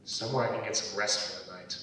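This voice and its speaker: A man's voice: fairly low pitch, steady tone, quick pace, bright, forceful tone, a little hiss or hum. A man playing an earnest, dutiful young Soviet comrade in a dramatic script reading, heard from a distance in an echoey room. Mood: stern, confronting.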